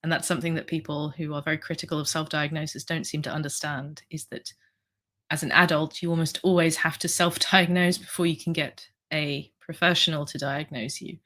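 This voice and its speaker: A low-pitched woman from the UK with a slightly sore throat, hosting a relaxed livestream. She rambles warmly through viewer comments, full of ums and asides. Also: a little fast. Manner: chatty, rambling with fillers. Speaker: livestream host. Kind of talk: casual livestream chat reading comments.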